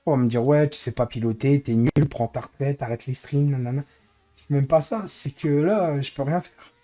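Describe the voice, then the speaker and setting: A hoarse man who is losing his voice, grumbling in exasperation as he comments live on his racing game stream. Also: mid-range voice, normal speed, warm tone. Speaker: streamer and racing league head.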